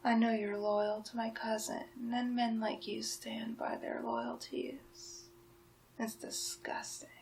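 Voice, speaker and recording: A woman playing a sly, menacing town witch in a soft-spoken, whispered ASMR roleplay, murmuring deliberately. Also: flat delivery, a little hiss or hum. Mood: menacing, coaxing. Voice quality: soft, breathy whispering mixed with low-volume speech.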